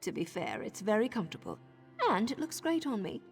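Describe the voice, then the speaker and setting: A woman voicing a blunt, scornful dark elf assassin in a game. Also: medium pitch, wildly swooping pitch, fairly quick, in a large hall, faint music behind. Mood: wry.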